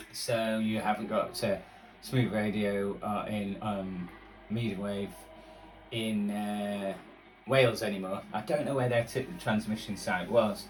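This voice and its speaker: A man giving casual, unscripted commentary as a radio hobbyist, rambling hesitantly and thinking aloud while he tunes. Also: on the low side. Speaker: shortwave radio hobbyist presenter. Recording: distant mic with radio audio behind.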